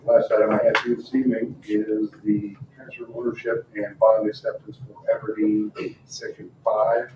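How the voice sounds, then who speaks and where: A low-voiced American man presents a formal report with very little change in pitch. He is halting and matter-of-fact, heard from some distance in a reverberant room. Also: flat delivery. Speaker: development director presenting to council. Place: town council meeting room. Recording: roomy, distant, muffled, faint noise.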